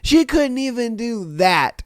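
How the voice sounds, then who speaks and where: A man's voice: extremely high pitch, sing-song, takes it slowly, no echo, studio quality. A man hosting a podcast, still recovering from an illness, with a slightly nasal voice and loose, slangy, profane reactions. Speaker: podcast host. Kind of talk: casual commentary on read-out posts.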